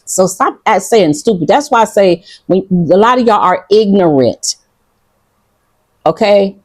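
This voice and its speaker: A woman's voice: medium pitch, no room sound. A woman from Detroit with a Detroit accent, talking casually and bluntly to her viewers, defiant and profane. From Detroit, United States. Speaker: vlogger. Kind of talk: casual talk to camera. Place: outdoors in Ghana.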